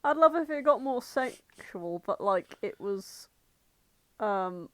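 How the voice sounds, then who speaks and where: A woman's voice: mid-range voice, lively intonation, takes it slowly, no room sound. A woman co-hosting a podcast in casual comedic chat, with an irreverent, jokey and sometimes sweary manner. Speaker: podcast co-host.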